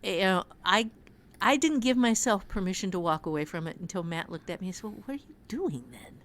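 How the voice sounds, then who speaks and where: A woman's voice: medium pitch, expressive, fairly quick. A woman speaking as a writing teacher in a conversational podcast, earnest and emphatic, repeating phrases to drive her point home.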